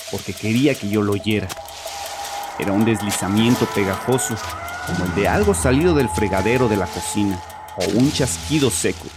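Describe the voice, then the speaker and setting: Low-voiced haunted father confessing , acted by a man. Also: natural voice. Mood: haunted, fearful. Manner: agitated, defensive, haunted confession with halting pauses. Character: tormented, guilt-ridden father confessing to a psychiatrist. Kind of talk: dramatized horror audio story. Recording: music behind the voice.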